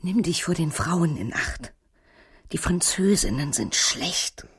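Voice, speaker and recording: An actress playing an ailing, anxious older mother in a German radio drama, tenderly cautioning her son in a hushed, frail, breathy voice. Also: deep voice, animated, slow, full character voice. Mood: worried.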